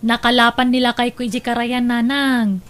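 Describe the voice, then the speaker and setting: A woman's voice: fairly high pitch, flat delivery, moderate pace, a bit bright, slight background noise, close to an everyday voice. A woman playing a cheerful, contented married daughter in a radio drama, speaking upbeat and reassuringly with an Ilocano accent.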